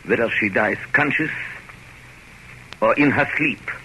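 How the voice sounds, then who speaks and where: A man's voice: medium pitch, a little slow, close to an everyday voice. An actor playing a grave, commanding older male doctor, portentous and emphatic, in 1930s radio drama style. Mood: grave resignation. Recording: slightly noisy old radio recording.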